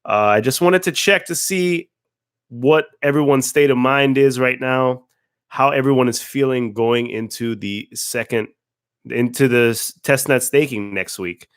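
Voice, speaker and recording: A man with a raspy voice from a cold, hosting a casual livestream, greeting viewers by name and restarting words as he talks. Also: medium pitch, a little slow, no room sound, studio quality. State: hoarse from a cold. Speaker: livestream host.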